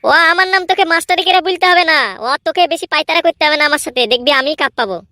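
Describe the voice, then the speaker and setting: Woman voicing brash, high-pitched little boy. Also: fast talker, pushed and bright, no echo, clean studio sound. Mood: boastful. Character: brash, bragging young boy in comedy cartoon. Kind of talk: comedy cartoon voice acting.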